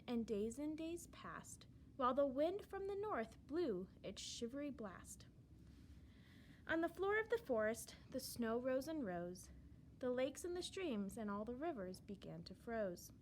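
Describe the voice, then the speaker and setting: A woman reads a rhyming children's story aloud in a high voice. Her intonation is very lively, lifting and falling with dramatic emphasis on the verse. A slight noise sits behind her narration.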